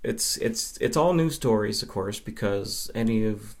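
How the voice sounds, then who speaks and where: A man's voice: on the low side, lively intonation, a little slow, vocal fry. A man from Nova Scotia, Canada, chatting casually as an interview guest and film fan.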